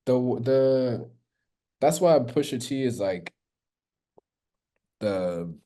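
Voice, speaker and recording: A man co-hosts a podcast in a laid-back, casual chat. He talks very slowly, drawing out his words, and his tone is dark and mellow. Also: on the low side. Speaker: podcast co-host.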